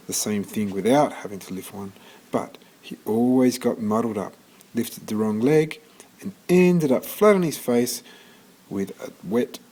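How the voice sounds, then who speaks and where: A man reading a children's story aloud in an expressive storytelling manner, occasionally stumbling and restarting. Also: on the low side, poor audio. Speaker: storybook reader.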